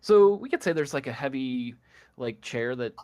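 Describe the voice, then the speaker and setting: A man acting as game master, improvising a tabletop story in a casual, halting way as he searches for words. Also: mid-range voice, lively intonation, darkish tone, clear, resonant tone.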